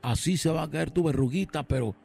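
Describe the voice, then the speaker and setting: A man's voice: fairly high pitch, fairly quick, gravelly, husky. A man with a Mexican accent co-hosting a radio morning show, joking and echoing the host. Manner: playful, echoes the host, exaggerated asides. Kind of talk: comic radio banter. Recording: radio broadcast with loud music bed.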